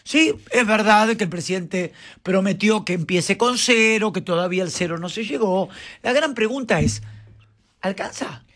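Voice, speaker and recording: A high-voiced Argentine man runs a casual radio show. He teases his co-hosts and fires off rhetorical questions in a playful, easy manner. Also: a bit bright. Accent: Argentine Spanish. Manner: playful, teasing, rapid-fire questions. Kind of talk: casual talk radio banter.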